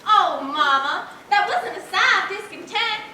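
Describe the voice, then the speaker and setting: In an echoey hall, recorded from far off, a woman plays a sweet innocent melodrama daughter. Her voice is extremely high and piercingly bright, gushing with theatrical earnestness. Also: lively intonation, a little slow, heavily acted. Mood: happy gushing. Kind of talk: stage comedy acting. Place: theater stage, large auditorium. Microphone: far camera. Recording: echoey auditorium from far off, faint noise.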